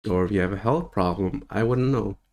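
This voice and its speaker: Man, 30s, very low voice, casual spiritual-teacher monologue, meandering and relaxed. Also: fairly quick. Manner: loose and rambling, with easy laughs.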